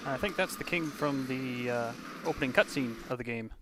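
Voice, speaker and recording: A man's voice: medium pitch, normal speed. A man from Canada giving casual, wry, hesitant commentary as he plays a video game. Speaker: gaming channel host. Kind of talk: casual let's play commentary. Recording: noisy, game sound behind. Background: video game audio.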